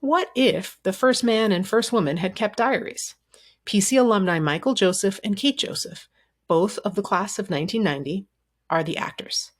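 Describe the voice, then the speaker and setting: A woman's voice: medium pitch, moderate pace. A woman hosting a podcast, reading a scripted introduction to the episode. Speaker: podcast host.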